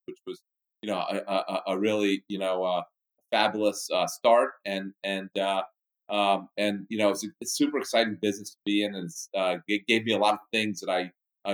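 A man in his fifties from the Bahamas, a business executive guest answering interview questions in a halting way with many restarts. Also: on the low side, poor audio.

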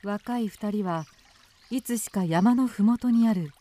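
A woman's voice: mid-range voice, unhurried, mellow. A woman reading scripted narration for a radio drama.

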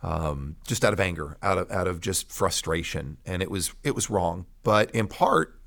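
A man, a pastor, telling his personal testimony in conversation as a guest, emphatic, with deliberate pauses and repeated phrases. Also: low-pitched, gravelly, a bit bright.

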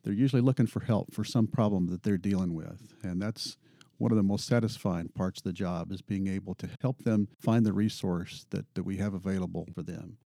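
A man's voice: low-pitched, fairly quick, vocal fry, dark tone, no echo, clean studio sound. A man in his fifties, a pilot union representative, answering interview questions earnestly and often repeating words as he thinks.

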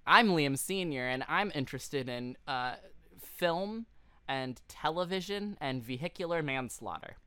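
A man co-hosting a podcast in casual comedic chat, jokey and quick to riff or interject. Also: on the high side, lively intonation, bright, forceful tone, smooth, no room sound. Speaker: podcast co-host.

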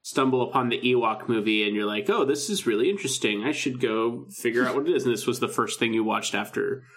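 A man's voice: mid-range voice, fast talker, fairly bright tone. Casual, enthusiastic man podcasting. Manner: enthusiastic, informal. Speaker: podcast co-host. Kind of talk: casual podcast chat about a radio drama.

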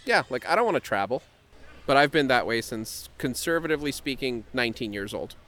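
Deadpan man with dry quips. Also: medium pitch, a little fast. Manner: dry deadpan, quizzing questions. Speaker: podcast guest. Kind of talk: casual chat.

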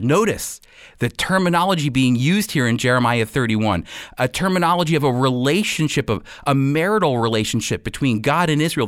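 A man giving a radio Bible teaching, explaining and reading scripture with emphatic, deliberate phrasing. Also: mid-range voice, fairly bright tone, dry recording, clean studio sound.

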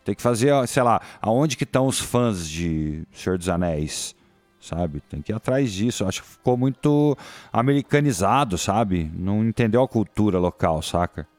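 A man's voice: lowish voice, lively intonation, moderate pace, a little mellow, no room sound, soft background music. A man with a Brazilian Portuguese accent in casual podcast chat, making blunt, emphatic points in slang.

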